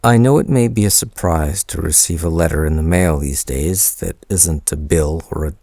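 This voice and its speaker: A man's voice: low voice, moderate pace, mellow, no echo, studio quality. A man playing a shy, smitten young man in an intimate boyfriend roleplay audio, speaking hesitantly with bashful stammers. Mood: reluctant, bashful.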